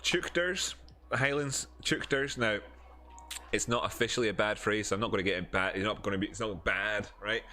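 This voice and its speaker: Low-voiced Edinburgh Scottish man streaming. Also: normal speed, bright, forceful tone. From Scotland. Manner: rambling, many fillers and restarts, answering chat. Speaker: crypto streamer. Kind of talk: casual live-stream chat and chart teaching.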